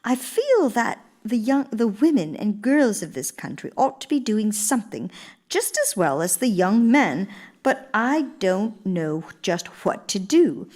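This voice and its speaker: A woman's voice: medium pitch, sing-song, a little slow, a little mellow. An actress playing an earnest, gracious elder First Lady in a radio play, speaking formally and with theatrical emphasis. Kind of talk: radio theater monologue and dialogue.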